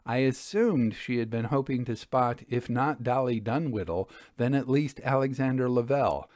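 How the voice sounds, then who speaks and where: A man reading a period mystery story as scripted narration, in a measured, literary and expressive manner. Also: medium pitch, no room sound, clean studio sound.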